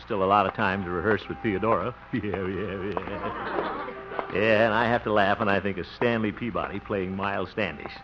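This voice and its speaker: A man's voice: low voice, fairly even intonation, moderate pace, close to an everyday voice. An actor playing an exasperated, sarcastic man directing an amateur play in a 1940s radio comedy. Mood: wry, amused. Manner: sarcastic, flustered, chuckling asides. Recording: noisy, muffled old-time radio recording.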